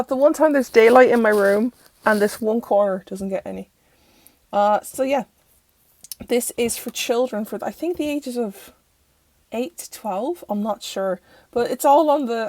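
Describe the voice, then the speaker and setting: A young Irish woman talks through a review in a loose, rambling way, her voice croaky as she gets over being sick. Her voice sits a little high for a woman, and the sound is close and dry with no room echo. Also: moderate pace, pauses often, a little mellow. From Ireland. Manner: chatty and rambling, self-interrupting. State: croaky, recovering from illness. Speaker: tarot channel host. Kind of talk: casual deck review. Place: bedroom at home.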